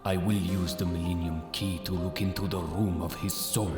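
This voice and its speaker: A man's voice: sits low, steady tone, normal speed, close to an everyday voice. A man playing a solemn, menacing mystical Egyptian avenger in an audio drama, speaking in a grave, portentous manner. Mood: astonished, intrigued. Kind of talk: dramatic audio manga acting. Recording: voice over prominent dramatic music.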